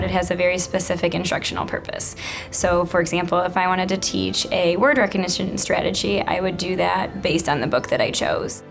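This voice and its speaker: A woman's voice: lowish voice, creaky. A woman who teaches elementary school, explaining reading strategies and reading aloud in an instructional way.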